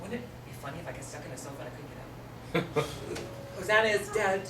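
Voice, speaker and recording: A woman playing a vain, sharp-tongued drag queen, bossy and theatrical, in a stage drama. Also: on the low side, brisk, natural voice. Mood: wry. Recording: echoey room, distant mic, noisy.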